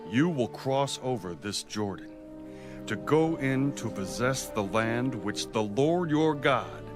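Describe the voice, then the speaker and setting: A man's voice: medium pitch, lively intonation, slow. Joshua, played by a man, resolute leader over music. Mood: resolute, commanding. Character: Joshua, resolute male leader commanding officers. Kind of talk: dramatised audio Bible reading.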